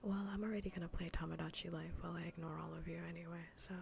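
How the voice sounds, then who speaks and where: Whispering, low-pitched woman streamer. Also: fairly even intonation, rapid-fire, a little hiss or hum. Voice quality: soft, breathy, mostly whispered. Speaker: livestreamer playing a game. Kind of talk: whispered ASMR chat while gaming. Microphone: close ASMR microphone.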